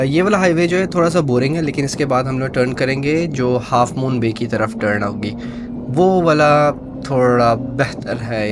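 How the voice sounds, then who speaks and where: A man in his thirties, a motorcycle vlogger, chatting in a relaxed way while riding on a highway and mixing English words into his talk. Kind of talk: casual travel narration while riding. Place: on a motorcycle on a desert highway, outdoors. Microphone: helmet or action-camera mic. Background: faint wind and engine noise.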